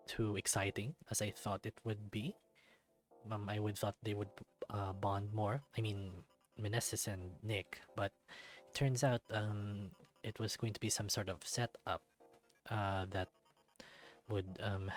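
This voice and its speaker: Casual, hesitant game commentator over music. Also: sits low. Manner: hesitant, reactive, thinking aloud. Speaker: let's play narrator and commentator. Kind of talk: reading a visual novel aloud with casual commentary. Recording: background music behind the voice.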